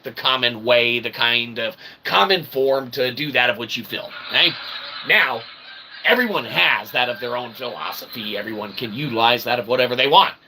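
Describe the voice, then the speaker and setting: A very bright, forceful man rambles through a livestream in roundabout, mock-formal phrases. His pitch swings in a lively way and carries a gravelly fry. There is some noise in the background as he checks in with okay and right. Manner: often tags sentences with "okay" and "right". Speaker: livestream host. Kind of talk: rambling improvised livestream chat with viewers.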